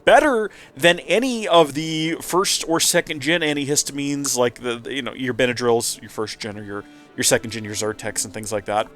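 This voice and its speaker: A man's voice: medium pitch, normal speed, few pauses, a bit bright, soft background music. A man hosting a let's play with casual, rambling commentary, his nose stuffy and a little nasal. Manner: chatty, rambling asides.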